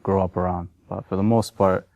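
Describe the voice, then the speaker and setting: Young american guy telling his own story, really low even voice, kinda hesitant and reflective. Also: brisk, creaky, dry recording, muffled recording. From Massachusetts, United States. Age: young adult. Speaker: convert telling personal story. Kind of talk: informal personal testimony.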